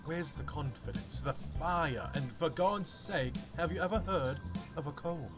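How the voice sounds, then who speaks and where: A man's voice: a little high, unhurried, over music. A man playing a haughty, scornful young snob in an anime game, pompous and disdainful. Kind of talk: anime visual novel voice acting.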